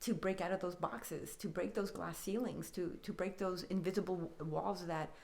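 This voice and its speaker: Reflective woman with a lowish voice. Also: fairly even intonation, moderate pace, gravelly, darkish tone. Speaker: podcast guest. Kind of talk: conversational podcast discussion.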